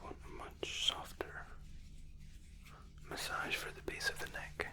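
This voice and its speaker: A man speaking in a breathy whisper, soothing and politely reassuring, in an ASMR spa roleplay as a therapist. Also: unhurried, sharp tone.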